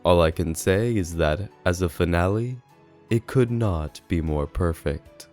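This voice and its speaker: A man's voice: deep voice, a little slow, darkish tone. A man reading a scripted review as narration, in an earnest and formally worded way. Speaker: video essay reviewer. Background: music under the voice.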